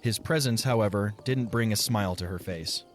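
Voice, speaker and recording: Guy narrating an audio play, kinda low voice, measured storybook delivery, faint music behind him.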